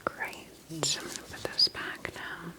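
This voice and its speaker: A woman whispering softly and gently in an ASMR roleplay as a calm, attentive doctor examining a patient, unhurried and reassuring. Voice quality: soft, breathy whisper.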